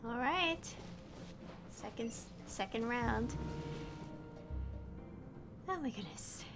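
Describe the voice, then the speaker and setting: A woman's voice: on the high side, expressive, slow pace, over music. A woman streamer casually musing to herself while playing through a game. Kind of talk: game playthrough commentary.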